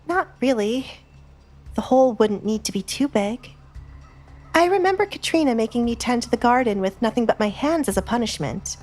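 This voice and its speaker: A cool young bride, voiced by a woman narrator, speaks softly in a high register. She is measured, frank and unyielding, in a romance drama with music underneath. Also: expressive. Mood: coolly firm.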